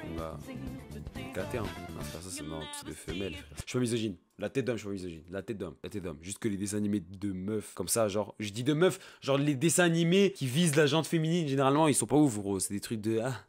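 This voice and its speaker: A young man giving casual, slangy commentary as a video creator, excitable, blunt and often repeating himself. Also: fairly low pitch, fast talker, soft background music. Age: young adult. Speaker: video creator presenting a tier list.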